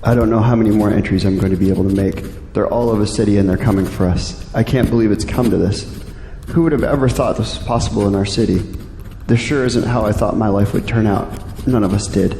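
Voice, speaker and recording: A man acting as an earnest young male graduate in an audio drama. His voice is soft-edged and mellow, set in a reverberant space with faint background noise. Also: fairly low pitch, fast talker, natural voice. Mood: weary, reflective. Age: young adult. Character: earnest young male college graduate, later a weary diarist.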